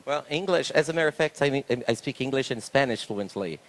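A middle-aged Brazilian man, Portuguese native, speaking English as a talk show guest with a handheld mic, relaxed with many fillers. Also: medium pitch, quick pace, low-quality recording. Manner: relaxed, laughs easily, many fillers like "you know". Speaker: guest, school owner and pastor. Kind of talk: interview in English. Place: indoor talk show stage on a sofa.

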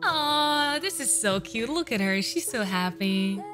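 A woman's voice: on the high side, a little slow, music in the background. Gushing woman vocal coach, close studio mic. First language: not English. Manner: gushing, excitable, frequent "uh" fillers. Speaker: vocal coach reacting to a song. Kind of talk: casual reaction commentary. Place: home room with shelves, indoors. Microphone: large studio condenser mic close up.

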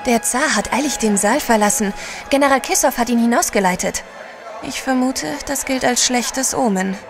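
An actress playing a self-assured, adventurous gentleman's wife, poised and assertive, in a German audio drama. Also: a little high, moderate pace, over music, close to an everyday voice. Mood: observant.

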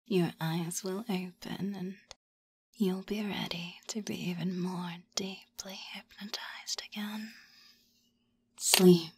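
A woman whispering a guided ASMR hypnosis script in a breathy voice, soothing yet commanding and suggestive, with drawn-out pauses. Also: lowish voice, steady tone, darkish tone, no echo.

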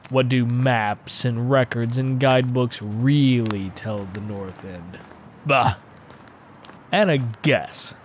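A man's voice: medium pitch, a little hiss or hum. A man reading a horror story aloud as an impassioned, scornful, secretive painter obsessed with macabre antiquity, in a theatrical, emphatic manner. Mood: scornful, insistent. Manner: theatrical, emphatic, declamatory, with exclamations. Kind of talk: dramatic audiobook reading of horror fiction.

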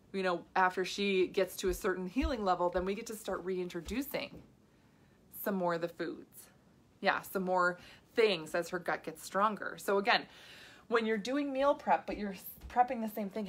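A woman in her thirties talks to her viewers like a health coach on a live stream. She rambles in a chatty way, with restarts and side stories. Her voice sits at an ordinary middle pitch. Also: a bit bright.

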